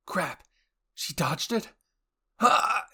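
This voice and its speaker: A man's voice: high-pitched, lively intonation, drawn-out words, bright, forceful tone, husky, voice pushed up, heavily acted. A man playing a fearful young male Titan shifter in an anime roleplay, exclaiming frantically and panicking. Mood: alarmed. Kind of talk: anime fan roleplay acting.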